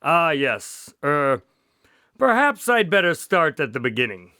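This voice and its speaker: A man's voice: fairly high pitch, sing-song, measured pace, pushed and bright, clear, resonant tone, no echo, clean studio sound, heavily acted. An actor playing a genial male doctor who recounts detective adventures in a radio drama, polite and wry. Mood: storytelling. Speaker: storyteller and narrator. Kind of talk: modern radio drama acting.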